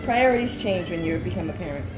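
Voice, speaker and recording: A woman talks casually with opinionated, bantering ease. She is picked up by a distant camera microphone in an echoey kitchen, and music plays clearly behind her. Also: on the low side, expressive, quick pace. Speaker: home cooking stream co-host and parent. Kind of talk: casual chat. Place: home kitchen. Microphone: distant camera mic over the counter.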